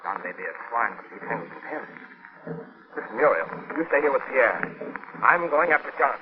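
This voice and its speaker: Guy as decent determined hero in old radio play, clipped and urgent, lively pitch, dull recording. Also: a little fast, lightly acted. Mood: subdued, resolute. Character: earnest, decent plantation man, wary and determined. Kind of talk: 1940s radio drama acting.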